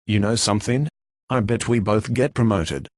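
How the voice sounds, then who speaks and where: An actor playing an eager, folksy working-class mail carrier with slangy talk in a radio play. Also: male voice, fairly low pitch, normal speed, lightly acted. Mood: scheming, eager.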